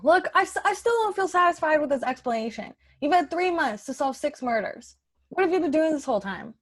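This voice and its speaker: A woman plays a skeptical, impatient boss in improvised comedy. Her voice sits a bit high, and she talks with sarcasm and open exasperation. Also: animated, a little fast. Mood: exasperated.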